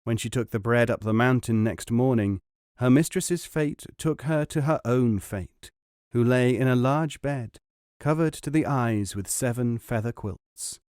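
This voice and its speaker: Measured male audiobook narrator, dry sound. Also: mid-range voice, darkish tone, smooth, dry recording. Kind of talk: scripted storybook narration.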